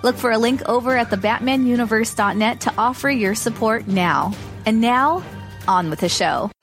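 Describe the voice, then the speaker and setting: A woman's voice: fairly high pitch, moderate pace. A woman announcer reading a scripted podcast promo. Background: music.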